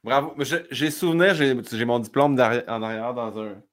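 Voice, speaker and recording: A man hosting a casual interview, chatty and fond of acting out quoted lines. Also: mid-range voice. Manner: chatty, quick asides, acts out quoted lines. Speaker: host.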